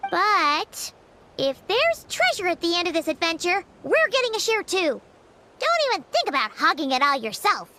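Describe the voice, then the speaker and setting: A woman voicing a small, excitable, childlike fairy companion in a game, squeaky and whiny, with music behind. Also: moderate pace, background noise. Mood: playful grudging. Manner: whiny, exclamatory, refers to herself in third person. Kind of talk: English game voice acting.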